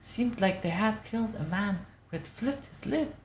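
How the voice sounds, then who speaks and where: A woman's voice: mid-range voice, lively intonation, normal speed, a little mellow, slight background noise, lightly acted. A woman voicing an elderly matriarch who recounts a tragic night as a folksy, dramatic storyteller. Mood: animated, grave. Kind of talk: dramatic reading of a game newspaper. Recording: roomy echo.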